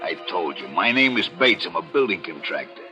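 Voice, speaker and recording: An actor playing a big, tough, pushy building contractor in a 1940s radio thriller, blunt and insistent. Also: male voice, low-pitched, big pitch swings, brisk, bright, forceful tone, faint music behind, full character voice.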